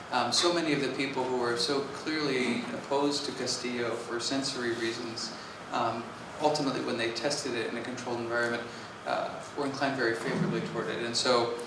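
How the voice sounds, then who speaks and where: A man on a panel speaks in a level, flat tone, working through his points carefully and steadily. He sounds far from the microphone in a very reverberant hall. There is clear background noise. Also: mid-range voice. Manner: measured and reflective, with steady fillers. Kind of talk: panel discussion. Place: conference hall stage.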